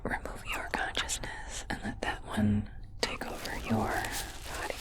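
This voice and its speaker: A woman playing a calm, methodical alien medical technician in a hushed, deliberate ASMR roleplay, reassuring the listener. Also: lightly acted. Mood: grave, reassuring. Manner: slow, deliberate, hushed delivery.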